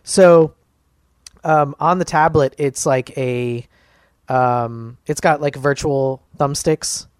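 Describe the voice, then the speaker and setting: Upbeat, slightly higher-voiced podcast man. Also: slow pace, clean tone, dry recording, clean studio sound. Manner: upbeat, many "like" and "um" fillers. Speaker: podcast co-host. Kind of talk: casual chat.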